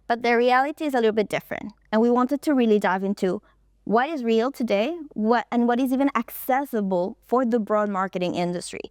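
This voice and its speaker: Woman presenting, smooth clear voice. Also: fairly high pitch, a little fast, darkish tone, dry recording, studio quality. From Colombia. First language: Spanish. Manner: explanatory, walking through points in order. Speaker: conference presenter. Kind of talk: stage presentation.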